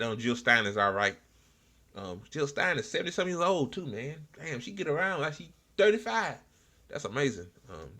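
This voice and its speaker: Bright, forceful American man, bluntly opinionated. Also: medium pitch. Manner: blunt, slangy, profane; halting, repetitive delivery. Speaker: online political talk show host. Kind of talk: casual unscripted political commentary.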